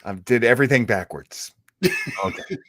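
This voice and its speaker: A man's voice: medium pitch, moderate pace, fairly bright tone, no echo. A man hosting a live stream, chatting casually and informally as he introduces things. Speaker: livestream host.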